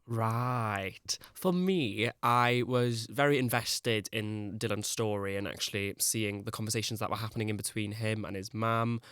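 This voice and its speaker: A man's voice: lowish voice, measured pace, hardly any pauses, a bit bright, smooth, no room sound, clean studio sound. A young man, chatting as a podcast guest, rambling and full of "like". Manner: rambling, peppered with "like". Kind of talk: casual chat.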